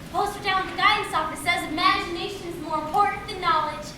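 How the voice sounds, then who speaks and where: A woman's voice: very high-pitched, fairly even intonation, fast talker. A woman playing an eager, imaginative young schoolgirl on stage, rambling earnestly through her wonderings. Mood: eager, curious. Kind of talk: amateur stage play acting. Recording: very echoey distant stage, noisy.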